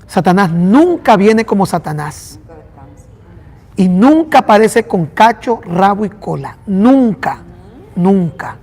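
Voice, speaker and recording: A man with a Venezuelan accent and a high voice preaches to his congregation. He acts out little dialogues as he goes. The sound rings with a long reverb from a big hall. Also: takes it slowly. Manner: animated preaching with acted-out dialogue. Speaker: pastor. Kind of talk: sermon.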